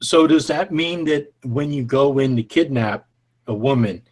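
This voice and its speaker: A man's voice: mid-range voice, unhurried, darkish tone. A man in his twenties co-hosting a casual podcast, joking and laughing often. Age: 20s. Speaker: podcast co-host. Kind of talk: casual comedic chat.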